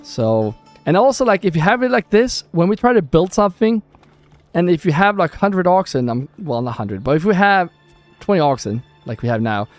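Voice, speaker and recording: A young Swedish-accented man chats his way through a live gameplay stream, thanking viewers and answering chat as he plays. His voice sits a little high for a man, and soft music plays under him. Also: very animated, normal speed. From southern Sweden. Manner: chatty, thanks viewers and reads chat aloud. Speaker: gaming livestreamer.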